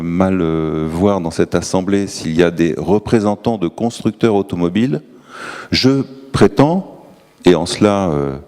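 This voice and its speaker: A man's voice: low-pitched, a little mellow. A man from Tours giving hesitant panel remarks as an energy syndicate official, with many fillers and restarts, in a large conference hall. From Tours, France. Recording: long hall reverb.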